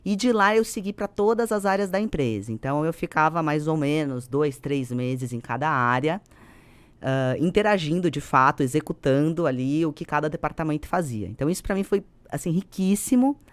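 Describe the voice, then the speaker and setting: A woman of around forty with a Brazilian accent, telling her story conversationally as an interview guest, expressive and fond of tag questions. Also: deep voice, moderate pace, no room sound. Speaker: podcast guest, company executive.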